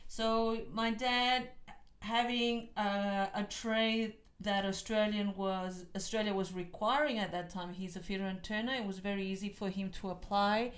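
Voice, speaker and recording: A woman's voice: mid-range voice, steady tone, measured pace. A woman in her fifties from Chile with a Chilean Spanish accent, a podcast guest talking with frequent hesitant ums. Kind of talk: casual interview chat.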